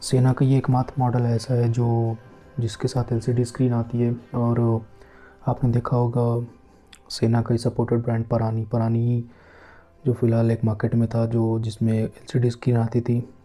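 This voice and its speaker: A man talks through a product unboxing in a very dark, mellow voice. His delivery is flat and casual, coming in halting short phrases, with music playing underneath. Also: mid-range voice. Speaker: vlogger reviewing a product. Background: background music.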